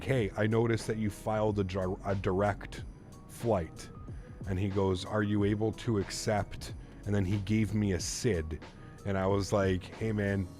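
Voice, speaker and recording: A man in his thirties with a lowish voice chats easily from a home streaming setup, reading viewer comments aloud and thinking out loud. He talks in a relaxed, rambling way with fillers and restarts. Faint music plays underneath. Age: 30s. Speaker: flight sim streamer.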